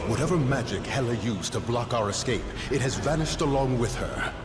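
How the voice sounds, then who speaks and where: An actor voicing a solemn, proud gatekeeper of the gods in a video game, formal and stately over dramatic music. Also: male voice, medium pitch, expressive, a little fast, heavy background noise, close to an everyday voice. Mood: resolute.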